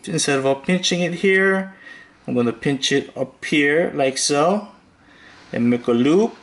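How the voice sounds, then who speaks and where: A man hosting a fishing tutorial, calmly talking through each step with occasional fillers as he ties knots. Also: a little high. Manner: slow, relaxed instructional talk with fillers. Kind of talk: step-by-step demonstration voice-over.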